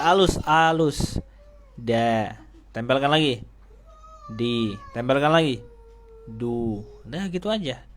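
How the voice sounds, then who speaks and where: A male Quran recitation teacher giving a tajwid lesson, patiently explaining where each letter is formed and slowly demonstrating the sounds in repeated drills, with an easy, joking manner toward his students. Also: fairly high pitch.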